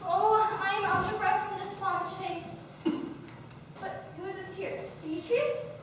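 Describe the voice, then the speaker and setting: A woman's voice: very high-pitched, fairly even intonation, unhurried, noisy background. A woman playing a lovelorn young Athenian woman in a stage play, pleading emotionally, recorded from far off in an echoey hall. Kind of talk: amateur stage play acting.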